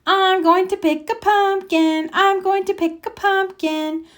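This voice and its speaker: A woman voicing an excitable, bouncy young boy frog in a storybook, exclaiming in a singsong way. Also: very high-pitched, a little slow, pitched above the performer's own voice. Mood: singing, gleeful.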